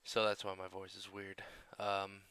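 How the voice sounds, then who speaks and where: Slow-talking young man with a cold. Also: low-pitched, monotone, creaky, pushed and bright, clear, resonant tone, no echo. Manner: swearing, offhand. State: has a cold. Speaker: let's play host. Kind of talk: casual gameplay commentary.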